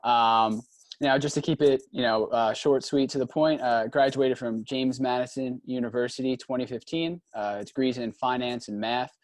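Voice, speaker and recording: A man in his twenties, an upbeat and animated startup CEO, speaking as a panelist on a video call. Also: medium pitch, normal speed, no echo. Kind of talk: casual panel introduction.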